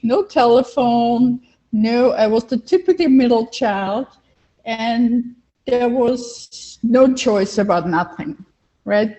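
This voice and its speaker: Older female coach, Austrian accent, slightly high mellow voice, emphatic personal storytelling, dull lo-fi call recording. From Vienna, Austria. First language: German. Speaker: interview guest, life coach. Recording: dull, lo-fi online call audio.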